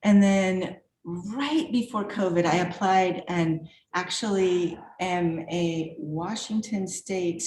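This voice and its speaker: A woman's voice: lowish voice, slow pace, few pauses, darkish tone, slight background noise. Chatty upbeat woman in an echoey room. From former Czechoslovakia. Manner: chatty and upbeat, laughs often. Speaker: guest artist and art professor. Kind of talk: casual self-introduction at a virtual reception.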